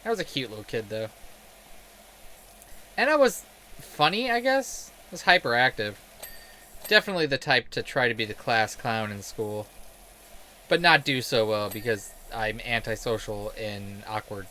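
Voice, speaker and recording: A man's voice: medium pitch, animated, normal speed, pauses often, pushed and bright, a little hiss or hum. A man in a casual, rambling game commentary, sweary, self-deprecating and sarcastic. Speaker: game streamer telling stories while playing.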